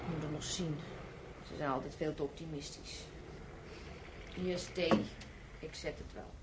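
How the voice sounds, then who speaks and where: A woman's voice: deep voice, steady tone, normal speed, close to an everyday voice. An actress playing a tired, sceptical wife and mother in a naturalistic radio play, nagging and dismissive. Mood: weary, grumbling. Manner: scolding, dismissive. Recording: noisy old radio drama recording.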